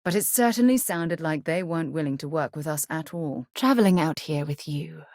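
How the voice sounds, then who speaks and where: A woman playing a composed, principled ex-military space explorer in a video game, articulate and measured with a dry wit. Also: low-pitched, animated, a little mellow, no room sound. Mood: composed, earnest. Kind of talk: video game dialogue acting.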